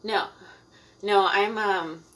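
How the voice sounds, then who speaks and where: A woman's voice: mid-range voice, steady tone, extremely slow, a bit bright. A young Canadian woman from Ontario chatting casually on a live cooking stream at home, rambling with fillers and laughing often. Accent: Canadian English. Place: home kitchen.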